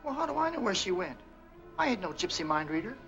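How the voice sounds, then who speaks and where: A man's voice: high-pitched, very animated, fast, lo-fi sound. An actor playing a cranky, evasive male night clerk with folksy, grumbling American speech, in an old 1940s film. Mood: grumpy. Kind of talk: 1940s film noir acting. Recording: old film soundtrack with faint music.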